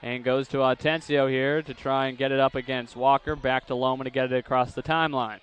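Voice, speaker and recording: A young man calling basketball play-by-play, with rapid calls that climb sharply on big plays. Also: mid-range voice, little pitch movement, clean tone. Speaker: play-by-play announcer. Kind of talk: live basketball play-by-play commentary.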